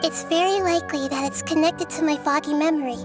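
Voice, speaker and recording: A woman voicing a small, gentle, wise child goddess in a video game, speaking softly and thoughtfully. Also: high voice, steady tone, fast, over music, lightly acted. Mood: puzzled. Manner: soft-spoken, thoughtful, articulate. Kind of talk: video game character acting.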